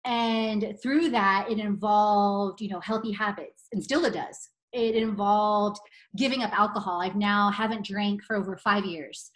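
A woman's voice: mid-range voice, fairly even intonation, unhurried, rough recording. A woman in her forties from Poland, a podcast guest talking enthusiastically and laughing often as she chats. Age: 40s. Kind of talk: casual interview chat.

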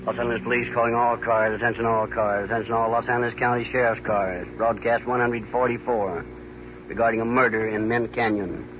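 An actor playing an official, clipped police dispatcher reading a bulletin over 1930s radio. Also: male voice, mid-range voice, brisk, dull audio, noisy background, natural voice. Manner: monotone, clipped.

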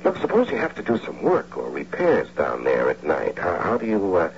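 A man's voice: low-pitched, wildly swooping pitch, moderate pace, exaggerated voice. An American man acting a bold, wisecracking bank burglar who tells of his heist like a sly storyteller in a radio drama. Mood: probing, sly. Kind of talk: 1970s radio drama acting and first-person narration. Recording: muffled, very noisy old radio recording.

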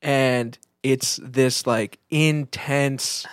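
Male co-host, average pitch, piecing thoughts together aloud in relaxed podcast conversation, close and dry. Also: drawn-out words, clear, resonant tone, no echo. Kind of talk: casual podcast chat.

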